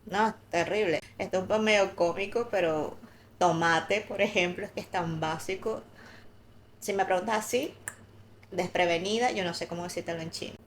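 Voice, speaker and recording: A woman co-hosting a podcast, telling personal stories candidly in a relaxed chatty way. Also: on the low side, moderate pace, a little hiss or hum. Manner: candid storytelling with light laughter. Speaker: podcast co-host. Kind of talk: casual conversational podcast chat.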